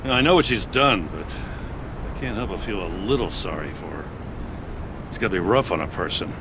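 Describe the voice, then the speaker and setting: An actor playing a weary, decent but stubborn police chief in a video game, gravelly, plain-spoken and earnest. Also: male voice, deep voice, lively intonation, fairly quick, noisy background. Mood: sympathetic.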